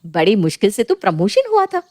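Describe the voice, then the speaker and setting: A woman storyteller narrating a scripted family story in a lively, colloquial style. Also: medium pitch, a little fast.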